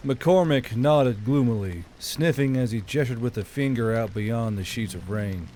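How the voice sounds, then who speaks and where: A man's voice: medium pitch, a little slow, warm tone, slight background noise. A man reading scripted fiction as an audiobook narrator. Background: background music.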